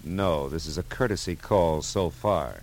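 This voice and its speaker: A man's voice: very deep voice, a little slow, a little mellow, a little hiss or hum. An actor playing a cocky, blunt private detective in a mid-century radio drama, with a wry, clipped tough-guy delivery. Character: cocky, hard-boiled male private detective, wisecracking and blunt. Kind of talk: 1950s radio detective drama acting with first-person narration. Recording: old radio broadcast with music.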